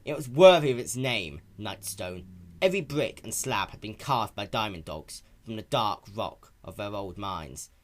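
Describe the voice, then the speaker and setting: A man's voice: on the low side, expressive, moderate pace. A man narrating a piece of fan fiction as a dramatic reading.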